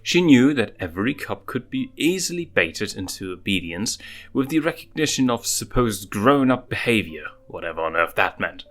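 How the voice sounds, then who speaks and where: A man's voice: on the low side, big pitch swings, normal speed. A man reading fan fiction aloud as a storybook narrator. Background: music.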